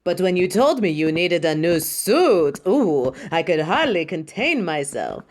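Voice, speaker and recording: A woman's voice: lowish voice, big pitch swings, measured pace. A woman playing a vain, imperious superhero costume designer in a theatrical audio roleplay, haughty, dramatic and doting toward the listener. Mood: smug, proud.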